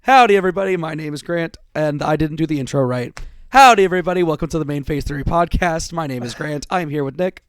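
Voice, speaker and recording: Hoarse-voiced podcast host, dry sound. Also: male voice, on the high side, animated, quick pace, no echo. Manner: rambling, swears casually, restarts sentences. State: sore, worn-out voice. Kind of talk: casual podcast chat.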